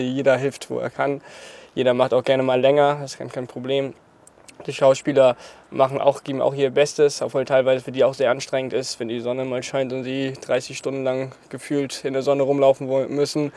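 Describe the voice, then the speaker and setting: A man's voice: medium pitch, a little mellow. A young man in his twenties from Portugal, interviewed outdoors, talking casually and laughing often between phrases. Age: 20s. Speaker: interviewee, choreographer. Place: outdoors in front of a graffiti wall.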